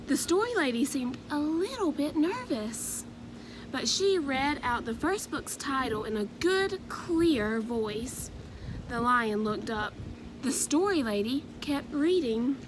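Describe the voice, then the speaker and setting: A woman narrates a children's story in a fairly high voice, taking her time with every phrase. Her delivery is expressive and measured, over clear background noise. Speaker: storybook reader.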